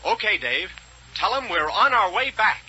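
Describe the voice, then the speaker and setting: An actor playing a decisive, heroic space captain in a 1930s radio serial, brisk and insistent. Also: male voice, sits high, sing-song, sharp tone, slight background noise, heavily acted. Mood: surprised, reluctant. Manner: brisk, insistent, talks over others. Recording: muffled 1939 radio recording.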